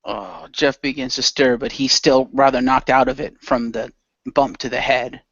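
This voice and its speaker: A man's voice: medium pitch, fairly even intonation, normal speed, a bit bright, no room sound, dull audio. A man giving improvised narration of the action in an amateur radio play.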